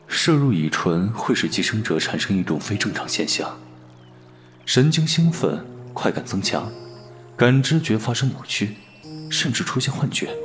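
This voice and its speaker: A man playing a cool, fastidious alien parasite posing as a human in an audio drama, detached and disdainful. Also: on the low side. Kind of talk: audio drama dialogue and inner monologue.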